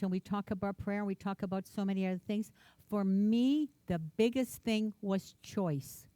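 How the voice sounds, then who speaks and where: Earnest woman minister, warm voice. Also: medium pitch, dry recording. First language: Ukrainian. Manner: earnest, reflective, repeats words for emphasis. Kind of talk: personal sermon talk. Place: church.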